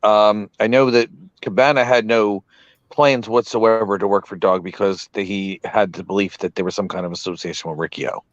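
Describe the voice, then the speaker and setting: Guy losing his voice on a podcast, low and muffled, keeps hedging. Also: steady tone, normal speed, no echo. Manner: hedging, repeatedly unsure of his memory. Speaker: podcast co-host. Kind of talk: casual podcast chat.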